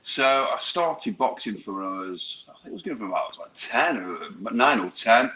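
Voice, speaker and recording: A man's voice: on the low side, expressive, normal speed, bright, forceful tone, a little hiss or hum, poor audio. A man, a boxing promoter appearing as a guest, telling his story informally with many restarts. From Stoke-on-Trent area, England.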